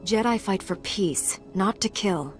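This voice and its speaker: A woman's voice: medium pitch, measured pace, faint music behind, lightly acted. An actress playing an earnest, principled young woman knight in a video game, composed in manner. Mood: firm compassion.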